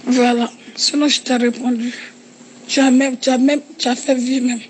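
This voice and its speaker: A woman's voice: high-pitched, flat delivery, normal speed, a little mellow, slight background noise. A woman with a cold leaving a chatty voice message, explaining herself. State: has a cold.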